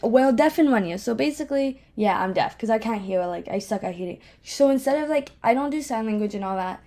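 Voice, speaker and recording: A woman's voice: on the high side, animated, fairly quick, dark tone. A young woman podcast host with a speech impediment, chatting casually on her own in a candid, self-deprecating, rambling way. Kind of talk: casual solo chat, rambling. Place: home office.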